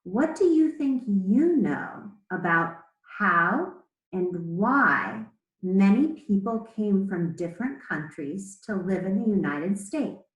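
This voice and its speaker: A woman speaking as an elementary school teacher, reading aloud to children in a measured, explanatory way with pauses between phrases. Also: medium pitch, lively intonation, roomy sound, highs cut off. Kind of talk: read-aloud lesson to children.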